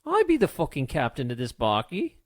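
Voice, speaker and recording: Man playing a barking, high-pitched female pirate captain. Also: huge pitch swings, a little fast, no room sound, studio quality. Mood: irate, commanding. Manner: barking, bossy, salty pirate talk. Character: commanding, foul-mouthed female pirate captain. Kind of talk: audiobook character voice.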